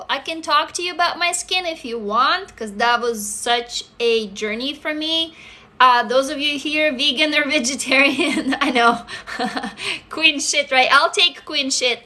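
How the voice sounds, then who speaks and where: A woman's voice: high voice, bright, forceful tone. A woman in her forties with a Russian accent, chatting candidly and swearing freely on a phone livestream from her desk indoors. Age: 40s. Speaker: livestream host. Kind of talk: casual livestream chat. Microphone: phone camera close up.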